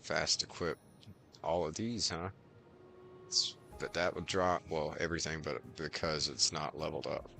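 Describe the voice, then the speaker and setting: Deep, gravelly, slow-talking man streaming. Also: steady tone, sharp tone, slight background noise. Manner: musing aloud, answering chat. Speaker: variety game streamer. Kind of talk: casual live game stream commentary. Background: game music.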